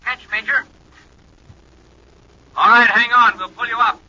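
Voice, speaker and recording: An actor playing an earnest, excitable young space cadet sidekick in a 1950s radio drama. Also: male voice, very high-pitched, unhurried, exaggerated voice. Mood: eager. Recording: dull old radio recording with faint hiss.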